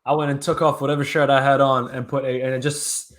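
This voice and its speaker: A young man co-hosting a sports podcast, talking basketball in loud, playful, animated banter. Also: medium pitch, quick pace. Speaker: podcast co-host.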